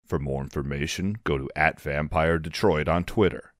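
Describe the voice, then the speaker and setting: A man with an extremely deep voice reads a scripted trailer announcement. The sound is dry and close, as from a studio microphone. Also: normal speed, dry recording.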